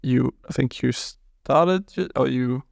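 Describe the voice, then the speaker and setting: A man hosting a podcast interview, asking questions in a halting way and rephrasing them as he goes. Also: mid-range voice, creaky, dry recording, clean studio sound. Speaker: podcast host.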